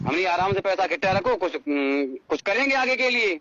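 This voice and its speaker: High-pitched, insistent man on a muffled call. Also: lively intonation, fairly quick. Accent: rural North Indian Hindi. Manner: insistent, repeats himself for emphasis. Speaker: phone caller. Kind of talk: airing a personal grievance on a phone call. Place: recorded phone call. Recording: muffled phone call with hiss and clicks.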